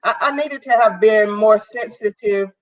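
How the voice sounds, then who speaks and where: A guest parent speaking earnestly and self-critically, describing their own voice as loud and strong. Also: mid-range voice, normal speed, no echo, lo-fi sound.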